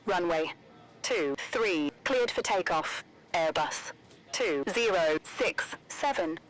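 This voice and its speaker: A woman's voice: lowish voice, lively intonation, slow pace, poor audio. A woman speaking scripted pilot radio phraseology in clipped, procedural phrases, stitched together from callsigns and readbacks. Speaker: pilot radio voice in air traffic control game.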